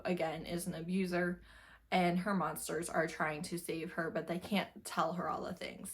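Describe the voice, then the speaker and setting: A woman giving a casual, chatty book review in a candid, informal way with wry asides. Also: on the low side.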